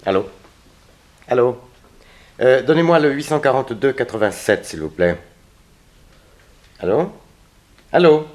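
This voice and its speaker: An actor playing a grave, authoritative hospital doctor in a 1960s French radio drama, serious and measured. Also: male voice, mid-range voice, expressive, natural voice. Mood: urgent.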